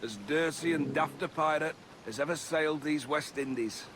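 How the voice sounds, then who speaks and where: Male actor as scornful game soldier, highish pitch, colloquial grumbling, noisy ambience. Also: normal speed, close to an everyday voice. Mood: scornful grumble. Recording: noisy outdoor ambience behind.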